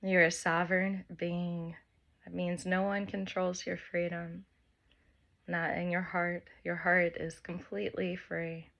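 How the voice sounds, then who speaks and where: A woman guides a meditation in a lowish voice that stays very level. She speaks very slowly, in gentle, short phrases with long pauses, giving soft instructions. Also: little pitch movement, gravelly, dull audio. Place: by the open air with wind and birds.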